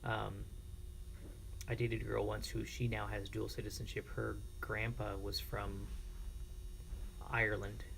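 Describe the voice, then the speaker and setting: An American man streaming casually, chatting informally and reacting to viewers in a creaky, gravelly voice. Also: lowish voice, little pitch movement, a little slow, long pauses, fairly bright tone, slight background noise, low-quality recording. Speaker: live streamer. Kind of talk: casual livestream chat with viewers.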